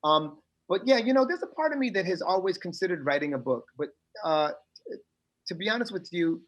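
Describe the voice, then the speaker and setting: Animated middle-aged man, lively higher voice. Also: fairly quick, muffled recording. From United States. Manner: animated, emphatic, streetwise phrasing. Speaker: guest. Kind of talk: casual podcast interview.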